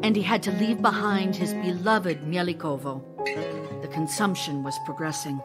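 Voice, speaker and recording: Ardent, low-pitched stage actress in love played by a woman. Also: fairly even intonation, moderate pace, over loud music, natural voice. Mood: wistful. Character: ardent, sentimental stage actress in love, recalling her romance. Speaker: actress in an audio drama. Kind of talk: theatrical audio drama, narrated memories and love letters.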